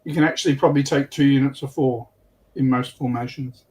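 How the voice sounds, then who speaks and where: A man's voice: medium pitch, steady tone, brisk, darkish tone, low-quality recording. A man explaining game rules as a panelist in a casual hobby chat.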